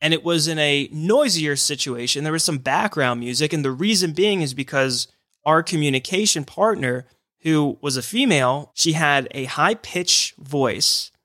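A man's voice: a little high, lively intonation, moderate pace, bright, forceful tone, no room sound, studio quality. A man who works in hearing care, explaining signs of hearing loss in an earnest, encouraging podcast talk.

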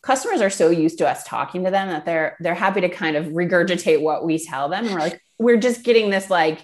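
A woman's voice: lowish voice, animated, fairly quick. A woman giving conversational interview answers as a podcast guest, explaining things informally with frequent filler phrases like "you know" and "kind of". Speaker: guest, product marketing manager.

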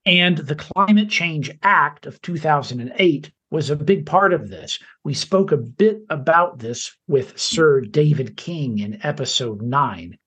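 A man with a fairly high, husky voice co-hosts a podcast. He reads the introduction in a measured, slightly halting way. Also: lively intonation, fairly bright tone. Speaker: podcast co-host.

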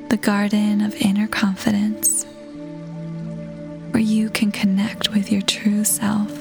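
Female meditation guide, near-whisper, very even pitch, creaky edges, soothing, gentle. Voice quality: soft, breathy, near-whisper. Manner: soothing, unhurried, gentle pauses between phrases. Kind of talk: scripted guided meditation, ASMR-like. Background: soft new-age music.

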